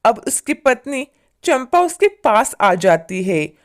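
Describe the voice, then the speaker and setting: A woman's voice: on the high side, sing-song, slow. A woman narrating a scripted moral story as its storyteller.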